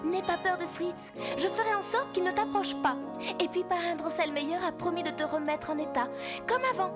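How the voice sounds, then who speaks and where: A woman voicing a sweet, caring little girl in a French-dubbed cartoon, speaking earnestly and gently. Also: very high-pitched, steady tone, normal speed. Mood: tender, soothing. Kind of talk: French-dubbed animated film acting. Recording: prominent music behind the dialogue. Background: film score.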